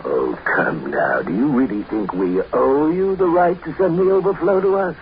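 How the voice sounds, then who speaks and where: An actor playing a wry, skeptical, rather haughty envoy from colony planets in a 1950s radio drama. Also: male voice, mid-range voice, normal speed, noisy background, natural voice. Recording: muffled 1950s radio recording.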